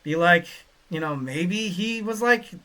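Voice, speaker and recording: Young male podcast host, rambling and excitable, bright forward tone. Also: sits high, unhurried. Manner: rambling, many fillers, excitable bursts. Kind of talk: casual solo podcast talk reading listener chat.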